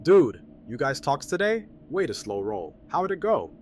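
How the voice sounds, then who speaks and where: A man's voice: fairly high pitch, sing-song, normal speed, slight background noise. A man playing a friendly, loyal, laid-back game master who talks in casual slang, in an audio drama. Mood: friendly, curious.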